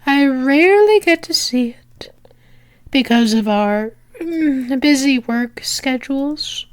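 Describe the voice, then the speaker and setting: A woman playing a flirtatious female demon in an ASMR roleplay, teasing and sultry, breathy and often slipping into a whisper, close to the microphone. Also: high-pitched, animated, no room sound. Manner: sultry, teasing, drawn-out with lingering pauses.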